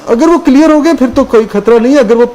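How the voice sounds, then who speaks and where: A man speaking as a medical expert on a call-in show, explaining precautions in a calm, patient, instructive way. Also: extremely high pitch, fast talker. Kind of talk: explaining health advice.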